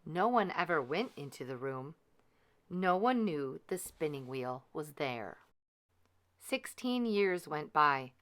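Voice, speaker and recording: Slow, clear woman reading a storybook. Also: low voice, sing-song, fairly bright tone. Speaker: storyteller reading a picture book aloud. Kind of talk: expressive scripted children's storybook narration.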